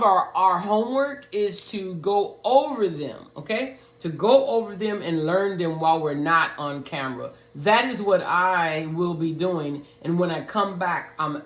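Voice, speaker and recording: Raspy, deep-voiced home piano tutor, unhurried and casual, with piano in a roomy room. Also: a bit bright. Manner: slow, talks through each step, sings scale notes on "ho". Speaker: piano learner teaching beginners. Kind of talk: casual step-by-step music lesson. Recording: roomy echo with piano playing.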